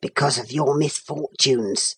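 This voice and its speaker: A woman's voice: low-pitched, expressive, takes it slowly, slightly rough, full character voice. A woman voicing a kindly, courteous male captain of the guard in a storybook, speaking plainly. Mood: explaining.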